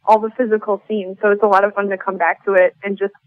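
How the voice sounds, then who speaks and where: A woman's voice: medium pitch, little pitch movement, fast, dry recording. Casting: female playwright interviewee, casual conversational delivery, dry and slightly lo-fi sound.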